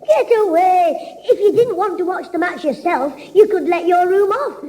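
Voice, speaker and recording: A man's voice: very high voice, warm tone. A man playing a cheeky, wisecracking young schoolboy in a 1960s radio sitcom. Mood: scheming. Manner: cheeky, wisecracking, quick retorts. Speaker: child character in radio comedy.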